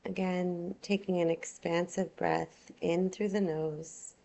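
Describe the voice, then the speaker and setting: A woman teaching yoga nidra, guiding a relaxation meditation in a soothing, unhurried, gentle way. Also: lowish voice, little pitch movement, vocal fry.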